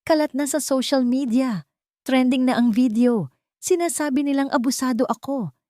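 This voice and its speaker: Woman narrator as wealthy husband in a melodramatic audio story: furious, suspicious, loud and indignant, recorded very dry. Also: high voice, normal speed, dry recording, pitched above the performer's own voice, lightly acted. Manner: shouting, indignant. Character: enraged, paranoid rich middle-aged husband. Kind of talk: dramatic audio story dialogue.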